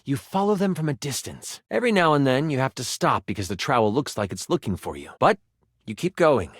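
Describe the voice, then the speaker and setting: A man's voice: medium pitch, very animated, a little fast, no echo, studio quality. A man narrating a fantasy adventure as a game master, in a theatrical storytelling manner addressed to the player. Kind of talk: dramatic second-person fantasy narration in a video game.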